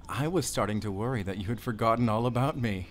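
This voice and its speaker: A man playing a friendly, bashful forest ranger and doting father in an intimate audio roleplay, chatty and gently teasing. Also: medium pitch, slight background noise. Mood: teasing, delighted.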